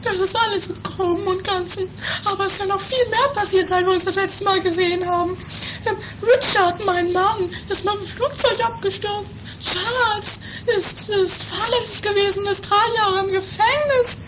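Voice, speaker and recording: Extremely high-pitched snobbish rich mama, theatrical, gushing, trashy comedy radio play, noisy old recording. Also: female voice, fairly even intonation, normal speed, few pauses. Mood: whiny, distraught. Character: haughty, melodramatic, money-minded rich mother.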